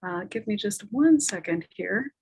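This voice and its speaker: Woman hosting online panel, medium pitch, informal, kinda hesitant searching for words. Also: animated, measured pace, dark tone, a little hiss or hum, low-quality recording. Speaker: moderator of a talkback. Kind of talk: informal live event moderating. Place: remote video call.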